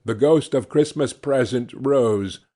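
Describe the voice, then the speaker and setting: A man narrating a classic novel as an audiobook in a measured, expressive storytelling manner. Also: medium pitch. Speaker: audiobook reader.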